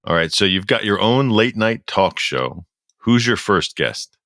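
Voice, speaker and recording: A man in his fifties hosting a podcast and running a brisk, friendly lightning round over a video call. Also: on the low side, animated, bright, forceful tone, no echo, studio quality. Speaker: podcast host. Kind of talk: hosting an interview. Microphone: headset on video call.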